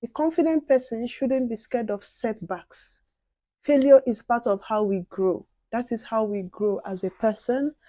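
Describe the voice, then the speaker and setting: A soft-spoken young woman chatting casually to viewers while doing her makeup. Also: on the high side, measured pace, no room sound. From outside the UK. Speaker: beauty and advice vlogger.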